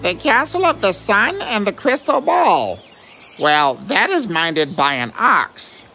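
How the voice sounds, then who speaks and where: A big, childlike, simple-minded cartoon giant, played by a woman. The voice is sharp and bright, slow and earnest, with lively swoops and faint music underneath. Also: medium pitch, exaggerated voice, low-quality recording. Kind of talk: animated fairy tale dialogue.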